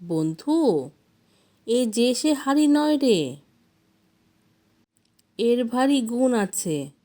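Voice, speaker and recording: Cocky, naive storybook village lad acted by a woman, high and animated, quick-tempered. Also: heavily acted. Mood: boastful. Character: gullible, hot-tempered young village man.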